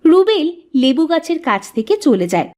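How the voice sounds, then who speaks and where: A woman's voice: sits high, very animated, moderate pace, a little mellow, faint music behind. A woman giving scripted narration for a cartoon fairy tale.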